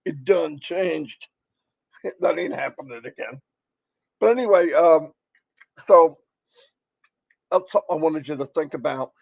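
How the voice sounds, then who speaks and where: Crackly guy on a live stream, folksy rambling sales pep talk, kinda high voice, dry dull audio. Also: expressive, unhurried, creaky, pauses often, no echo. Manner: rambling, folksy, repeats points for emphasis. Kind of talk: motivational sales pitch.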